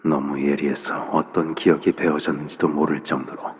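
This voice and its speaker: A man voicing a soft-spoken, menacing father figure of a crime syndicate in a video game, with gentle parental phrasing. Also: level tone, moderate pace. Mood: calm, veiled menace. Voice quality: very deep, low voice. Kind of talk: scripted video game voice acting. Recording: long reverb, dull muffled sound.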